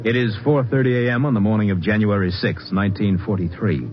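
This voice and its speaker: An American man narrating a 1950s radio drama with measured, dramatic scene-setting. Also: fairly low pitch, soft background music. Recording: dull 1950s radio recording.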